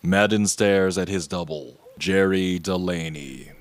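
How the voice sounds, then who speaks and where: A man's voice: low-pitched, a little slow, no room sound. A man reading scripted narration, describing scenes in the present tense for an audio drama.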